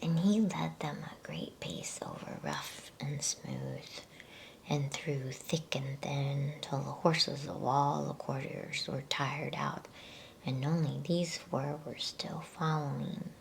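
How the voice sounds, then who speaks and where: A woman reading a storybook in a soft, breathy ASMR whisper, with a soothing, unhurried manner and casual delivery. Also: low voice, expressive, a little hiss or hum. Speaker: ASMR bedtime story reader.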